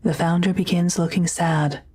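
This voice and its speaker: Low, even-toned female narrator. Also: moderate pace, slightly rough. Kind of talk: scripted audio drama narration, present tense.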